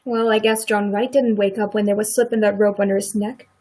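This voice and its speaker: A woman in a radio play acting a middle-aged farm wife who is sympathetic, quietly indignant and plain-spoken in a folksy rural way. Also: medium pitch, level tone, fairly quick, natural voice.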